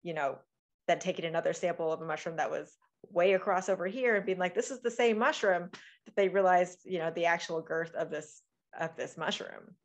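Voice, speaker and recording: A woman's voice: fairly low pitch, brisk. A woman in her thirties from Oregon, a guest author chatting casually on a video call, often restarting her sentences. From Oregon, USA. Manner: chatty, many restarts and fillers. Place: home room with bookshelves. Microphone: video call webcam.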